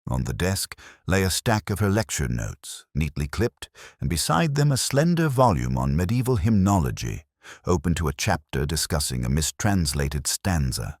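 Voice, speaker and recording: A man narrating a first-person detective story from a script, in a measured, literary and reflective manner. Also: a bass voice, big pitch swings, dry recording, studio quality.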